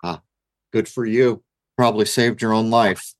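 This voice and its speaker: A man with a fairly low voice hosts a talk radio show. He introduces his guests and asks questions in a brisk, direct way. The sound is dry, with no room echo. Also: steady tone.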